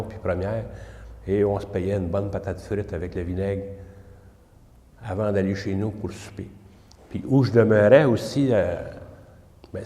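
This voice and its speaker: An older man with a Quebec French accent, recalling his childhood in a relaxed, hesitant way. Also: fairly low pitch. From Quebec, Canada. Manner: relaxed, hesitant, many pauses. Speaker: interviewee. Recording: echoey room with long reverb.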